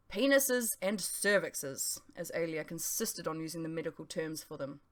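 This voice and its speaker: A woman reading her own novel aloud as expressive first-person narration. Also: fairly low pitch. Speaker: author reading own audiobook.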